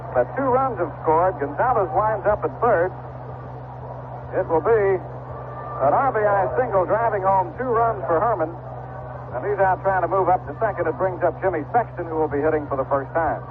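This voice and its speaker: An American man calling baseball play-by-play for radio in short, clipped, measured phrases. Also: high-pitched. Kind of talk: live radio play-by-play commentary. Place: ballpark radio broadcast. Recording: noisy vintage AM radio broadcast, narrow band.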